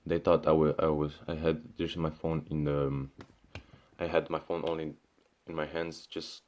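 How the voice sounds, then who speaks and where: A young man from Syria rants to his camera with a very low voice. He talks casually and loosely, tripping over restarts and fillers, dropping swear words and "bro" as he goes. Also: flat delivery, moderate pace, a little mellow. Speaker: vlogger. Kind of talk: casual ranting monologue.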